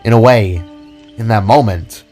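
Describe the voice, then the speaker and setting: A man's voice: lowish voice, unhurried, mellow. A man reading scripted fiction as a storyteller. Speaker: storyteller, narrator. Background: music.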